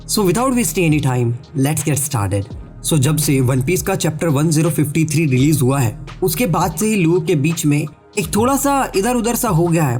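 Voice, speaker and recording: A man's voice: a little high, darkish tone. A man with a sore throat and a blocked nose, giving a casual explainer voice-over as an anime theory YouTuber and speaking straight to his viewers. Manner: chatty, addresses viewers directly, mixes in English words. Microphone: voice-over recorded separately.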